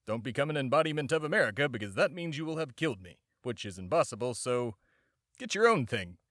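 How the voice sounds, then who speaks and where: A man's voice: medium pitch, very animated, quick pace, dry recording, clean studio sound. A man playing a bombastic, self-important immortal embodiment of America in a comedy podcast monologue. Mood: smug. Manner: pompous, shouting announcer bursts, rambling asides.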